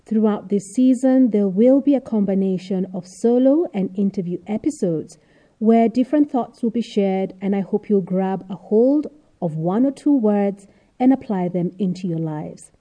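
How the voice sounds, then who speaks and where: A woman's voice: medium pitch, moderate pace, lo-fi sound. A woman hosting a podcast, reading introductions and putting questions to a guest. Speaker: podcast host. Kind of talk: scripted podcast introduction and interviewing.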